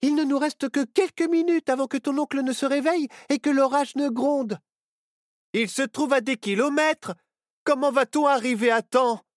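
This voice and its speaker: A man voicing a brave, earnest child in a children's storybook, speaking in an excitable, exclaiming way. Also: mid-range voice, moderate pace, no echo, clean studio sound, pitched above the performer's own voice, natural voice. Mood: excited, urgent. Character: brave, earnest young boy and his anxious child friends.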